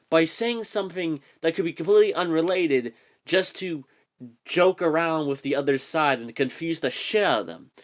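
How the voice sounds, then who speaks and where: Rambling young man ranting casually. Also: high voice. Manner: rambling, profane, trails off into mumbles. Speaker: channel host. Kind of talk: casual reaction rant.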